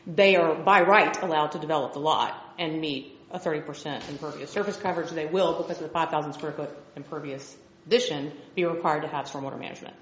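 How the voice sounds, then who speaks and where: A woman on a city's planning staff, patiently and clearly explaining the code at a public hearing in a meeting hall. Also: sits low, fairly even intonation, fast talker, a bit bright. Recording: roomy, dull meeting-hall recording.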